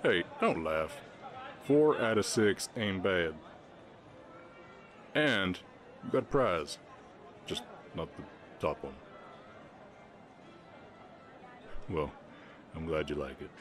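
A man playing a shy, gentle orc cowboy with a slight Southern accent and hesitant delivery in a roleplay audio drama. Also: deep voice, sing-song, slow pace, pauses often, a faint crowd, full character voice. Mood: playful. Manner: hesitant, stammering, bashful. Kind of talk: roleplay audio drama, soft ASMR acting.

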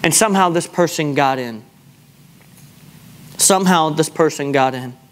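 A man's voice: on the high side, moderate pace. A man preaching a sermon in an emphatic, exhortatory manner, repeating phrases for weight. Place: large church sanctuary, speaking from the pulpit to a seated congregation.

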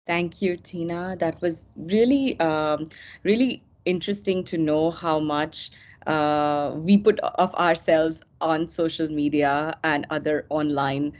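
Low-voiced woman moderating a call. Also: takes it slowly, a little mellow. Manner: thanks speakers, poses questions. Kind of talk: moderating a panel. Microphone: video call. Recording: dull lo-fi video call audio.

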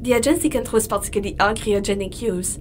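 A woman's voice: medium pitch, fast talker, slight background noise, lightly acted. An actress playing a terse, sceptical female biologist in a naturalistic space audio drama. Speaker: crew biologist.

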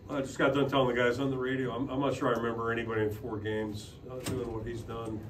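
An older man speaks as a head coach at a postconference podium, plain-spoken and full of restarts. His pitch stays flat and even. The room is echoey with steady noise behind, and the mic sits a little off. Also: fast. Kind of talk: postgame press conference answers. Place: press room in front of a logo backdrop. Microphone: podium microphone. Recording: roomy, slightly distant, noisy.

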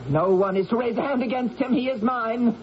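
A woman's voice: on the high side, brisk, close to an everyday voice. Woman playing fierce ancient british queen, bossy n dramatic, old radio play w some hiss. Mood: furious, defiant. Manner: imperious, theatrical. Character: proud, fiery warrior queen of ancient Britain. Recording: dull, hissy 1980s radio recording.

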